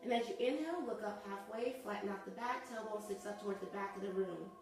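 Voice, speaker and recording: A woman leads a yoga session with a slightly gravelly, fry-tinged voice, checking in with a casual "okay?" as she talks students through breath and posture. She sniffles now and then from a recent nose surgery. The sound is roomy, with a noticeable echo. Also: mid-range voice, fast, over music. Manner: encouraging, conversational with frequent okays. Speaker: yoga instructor. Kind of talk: guided yoga instruction.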